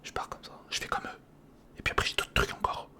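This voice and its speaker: A man's voice: fast talker, bright, forceful tone. A man giving whispered ASMR commentary on a hands-on build, murmuring to himself as he thinks and chatting informally to the viewer. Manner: murmurs to himself while thinking through steps; informal, chummy address to the viewer. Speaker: ASMR video host.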